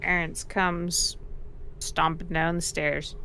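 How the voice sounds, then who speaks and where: A woman's voice: fairly low pitch, little pitch movement, slow, vocal fry, bright, forceful tone. A woman playing a tabletop game, chatting casually in a dry, teasing way. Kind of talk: casual improvised game chat. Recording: noticeable background noise.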